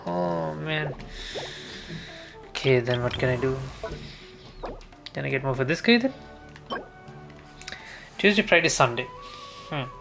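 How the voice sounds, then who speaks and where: A man's voice: mid-range voice, animated, slow pace, vocal fry, long pauses. A man giving casual gameplay commentary, thinking aloud and muttering to himself as he plans his next moves. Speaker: streamer playing a video game. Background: game music.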